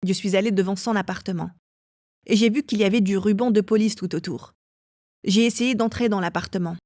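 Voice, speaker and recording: A woman telling her own story as an interviewee, in a calm and measured way. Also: medium pitch, no echo, studio quality. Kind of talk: personal testimony.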